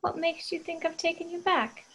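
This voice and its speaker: A candid young girlfriend played by a woman in a drama table read. Her voice sits high, and she speaks earnestly and consolingly to her partner. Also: level tone, moderate pace, sharp tone, a little hiss or hum, full character voice. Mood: wry, tender. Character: reassuring, candid young girlfriend facing zombie siege.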